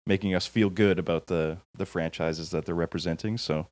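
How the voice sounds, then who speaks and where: A man co-hosting a casual chat show, quick to laugh and informal in the way he talks. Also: deep voice, fairly even intonation, a little mellow, no echo. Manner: laughs easily and often. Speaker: podcast host. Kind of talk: casual chat about video game films.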